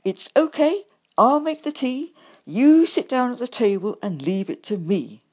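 A woman's voice: on the high side, huge pitch swings, slow pace, husky, pitched above the performer's own voice, full character voice. A woman voicing a boastful, snappish male rat who calls himself a super rat. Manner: snappish, pompous.

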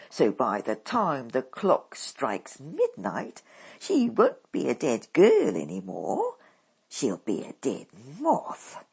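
A woman's voice: low voice, gravelly, slightly rough, exaggerated voice. A woman reading a storybook aloud, voicing a bossy, scornful stepmother witch who snaps orders at her daughters.

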